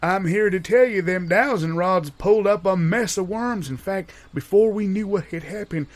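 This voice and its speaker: A man's voice: sits high, moderate pace, lightly acted. A man playing a folksy, stubbornly insistent older storyteller in improvised audio comedy. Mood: dramatic, folksy. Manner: folksy, emphatic, yarn-spinning.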